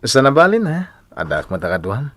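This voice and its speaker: A man's voice: mid-range voice, wildly swooping pitch, fairly quick, fairly bright tone, dry recording. An actor with an Ilocano Filipino accent playing a bashful young man secretly in love, in radio drama style. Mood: friendly, bashful.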